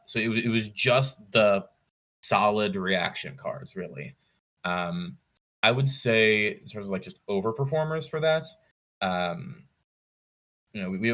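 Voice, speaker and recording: Man, lowish pitch, bright forceful tone, podcast guest, casual storytelling, hesitant self-corrections, enthusiastic. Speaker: podcast guest, competitive card game player.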